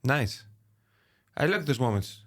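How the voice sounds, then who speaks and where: A man with a mid-range voice hosting a relaxed podcast interview. He jokes with his guest and often breaks off and restarts his questions. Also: medium pitch. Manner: friendly, teasing, halting phrasing. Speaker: podcast host. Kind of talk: casual interview chat.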